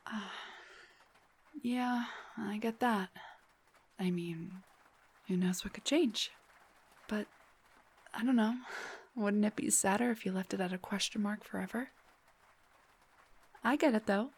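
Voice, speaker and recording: A woman playing a playful, teasing young rock singer in an intimate roleplay audio drama, speaking softly and breathily, often near a whisper. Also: mid-range voice, breathy edge. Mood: teasing, tender.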